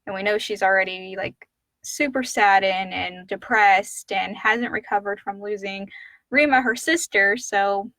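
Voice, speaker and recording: Bright-voiced woman chatting as co-host. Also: mid-range voice, unhurried, dry recording, rough recording. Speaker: podcast co-host. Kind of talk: casual live Q&A chat.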